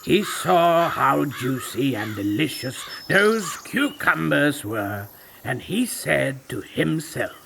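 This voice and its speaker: A proud, ancient tiger, played by a man, tells a storybook tale in a gravelly, unhurried voice. His delivery swoops up and down with theatrical relish. Loud music plays behind him throughout. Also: fairly high pitch, big pitch swings, a little hiss or hum. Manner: theatrical and self-satisfied. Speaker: narrator. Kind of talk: scripted storybook narration. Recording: narration over loud music.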